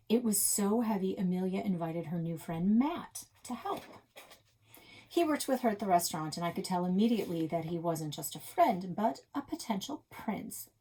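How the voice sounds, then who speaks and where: A woman reads a children's novel aloud in a slightly low, husky voice. She narrates in the first person with expressive delivery, stretching out the words that matter. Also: poor audio. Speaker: read-aloud reader for a public library.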